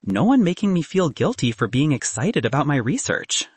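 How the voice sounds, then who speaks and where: A man's voice: fairly high pitch, sing-song, moderate pace, husky, dry recording. A man voicing a young woman physicist in an audiobook, confident and frank, with a dry, advice-giving manner. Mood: wry, upbeat.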